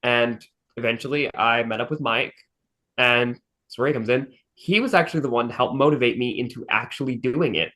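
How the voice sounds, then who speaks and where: A man's voice: medium pitch, fairly quick, sharp tone. A man talking casually and earnestly, with emphatic praise and reflection, as a podcast host. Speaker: fandub director and podcast host. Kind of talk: casual chat.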